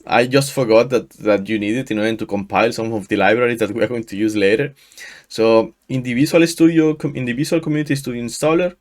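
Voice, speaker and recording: Man, 30s, Mexican Spanish accent, slightly low pitch, halting tutorial talk, headset mic, small room. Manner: halting, frequent restarts and fillers. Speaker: tutorial host. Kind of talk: screen-share software tutorial.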